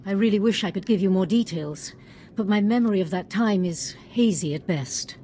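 A woman's voice: medium pitch, normal speed, dark tone, a little hiss or hum, close to an everyday voice. An actress playing a kindly, solemn female Jedi master recovering from illness in a video game. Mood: regretful.

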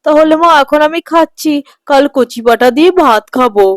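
A woman voicing a gluttonous, flattering young son-in-law in a cartoon, gushing and wheedling. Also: high voice, fairly quick, no echo, lightly acted. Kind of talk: cartoon voice acting.

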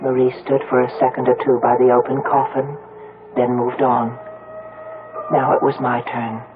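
A grieving daughter narrating radio drama. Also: female voice, rumbling low, little pitch movement. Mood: sorrowful, reflective. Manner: measured, literary first-person storytelling. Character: Una, grieving, reflective grown daughter telling her story. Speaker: lead actress and narrator. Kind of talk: 1970s radio drama acting. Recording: muffled phone-like old radio recording, music.

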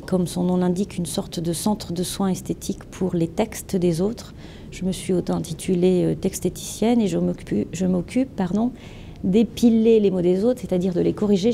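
A woman in her thirties answering interview questions at a trade fair stand, lively and playful with words, gesturing as she talks. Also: lowish voice, normal speed, creaky, slight background noise. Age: 30s. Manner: animated, gestures with hands, playful wordplay. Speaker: entrepreneur interviewee. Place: event stand with branded backdrop, indoors.

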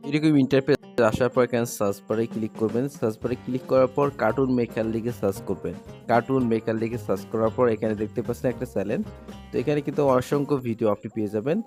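A man hosts a screen tutorial over background music. He talks quickly at a medium pitch. His phrasing is instructional and repetitive as he goes step by step. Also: darkish tone. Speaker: tutorial host.

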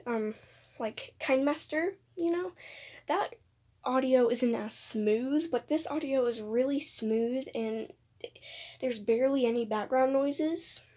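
High-pitched, husky young woman, slow and hesitant. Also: mellow. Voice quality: occasional voice cracks. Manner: hesitant, informal, repeats herself. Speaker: video creator announcing voice auditions. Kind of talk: casual rambling announcement to viewers. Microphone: phone voice recorder app.

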